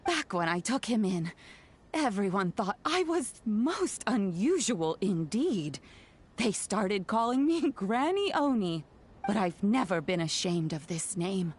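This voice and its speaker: A woman voicing a kindly old granny in a video game, gentle, reassuring and proud of those she cares for. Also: mid-range voice, very animated, a little slow, a little hiss or hum. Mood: fond, proud. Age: older. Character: kindly, proud, doting old granny who raised an oni.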